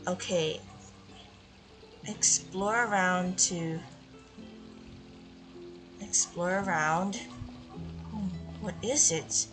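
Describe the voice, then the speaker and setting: Slow-paced woman gaming host. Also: on the low side. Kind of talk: gameplay commentary, reading game text aloud. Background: faint game music.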